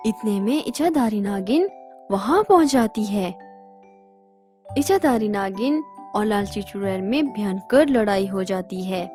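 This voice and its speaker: A woman's voice: medium pitch, lively intonation, measured pace, warm tone, faint music behind. A woman narrating a scripted story for children.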